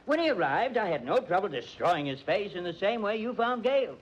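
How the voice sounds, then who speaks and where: An actor playing a smug, cold-blooded murderer, sardonic and mock-polite, in a 1940s radio crime drama. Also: male voice, high-pitched, very animated, brisk, slightly rough, full character voice. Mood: gloating, smug. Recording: dull old radio recording.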